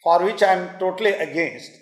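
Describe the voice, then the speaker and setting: A man with an Indian English accent, a native Kannada speaker, giving a public talk in an earnest, halting way with many fillers, building into emphatic lists. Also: high-pitched, reverberant.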